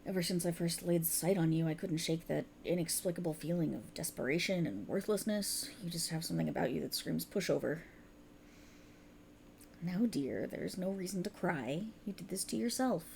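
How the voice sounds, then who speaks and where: Woman playing otherworldly fantasy patroness, creaky voice, condescending, taunting, mock-affectionate, drawn-out emphasis, close-miked ASMR roleplay, faint noise. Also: fairly low pitch, darkish tone. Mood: mocking disdain. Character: cruel, condescending otherworldly warlock patron, fey-like mistress. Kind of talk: ASMR roleplay, dramatic monologue. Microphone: close microphone.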